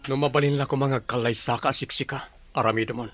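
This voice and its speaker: A man's voice: medium pitch, fairly even intonation, fast, fairly bright tone, dry recording, faint music behind. An actor playing a stubborn young businessman in a radio drama, blunt and dismissive in manner. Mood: irritated, evasive.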